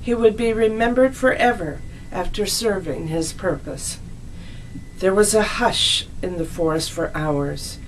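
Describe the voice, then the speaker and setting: A woman narrating a scripted children's story as a storyteller, in a deliberate, expressive read-aloud manner. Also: mid-range voice, a little hiss or hum, poor audio.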